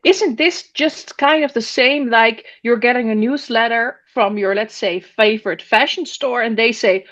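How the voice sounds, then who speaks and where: A woman from the Netherlands, speaking English as a columnist guest in a casual roundtable, thinking aloud with frequent pauses and restarts. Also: a little high, fairly bright tone, dull audio. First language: Dutch.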